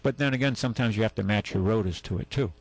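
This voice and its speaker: A man's voice: fairly low pitch, fast talker, a little mellow, no room sound. A man hosting a call-in radio show, giving folksy, rambling car advice and correcting himself as he goes.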